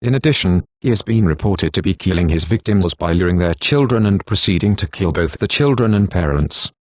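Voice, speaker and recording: A man playing a stiff, formal, measured correspondent and emergency alert announcer, reading scripted bulletins. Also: deep voice, expressive, no room sound.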